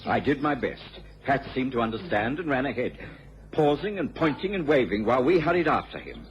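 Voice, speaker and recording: A male actor plays a genteel, earnest gentleman who assists a detective and tells of the cases. His pitch moves freely as he speaks in an expressive radio drama style. The recording is dull and old, with a faint hiss. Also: brisk, natural voice. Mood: measured, grave. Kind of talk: radio drama narration and acting.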